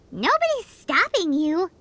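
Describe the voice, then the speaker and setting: A woman voicing a tiny, cheeky childlike companion sprite in an anime game, squeaky and full of opinions. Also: big pitch swings, slow, a little hiss or hum, heavily acted. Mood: wry, supportive. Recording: loud game music behind voice.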